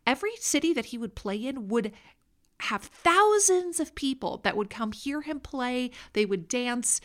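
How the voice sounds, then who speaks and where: A woman with a Minnesota American accent hosting a podcast, telling history in a conversational storytelling style. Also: a little high. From Minnesota, United States. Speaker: podcast host.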